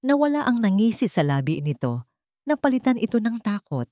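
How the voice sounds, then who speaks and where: A woman's voice: mid-range voice, very animated, a little fast, dark tone, no room sound, clean studio sound. A woman with a Filipino accent telling a story in dramatic scripted narration.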